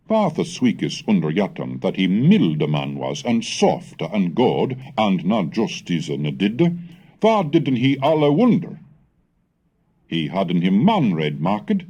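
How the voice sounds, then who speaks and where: Deep, mellow-voiced man in scholarly recitation. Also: slightly rough. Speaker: narrator of a history of English lesson. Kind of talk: scholarly scripted narration and recitation of old texts.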